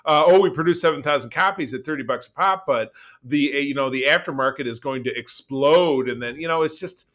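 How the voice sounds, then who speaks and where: A man's voice: fairly high pitch, a little fast, a bit bright. A man co-hosting a podcast, talking in a casual, rambling conversational way. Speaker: podcast co-host. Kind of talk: casual discussion of comics and media.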